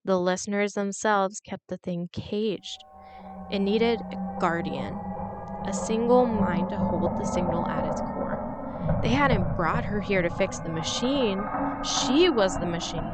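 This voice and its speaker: A woman's voice: medium pitch, a little slow, very noisy. A woman reading a scripted horror story as its narrator.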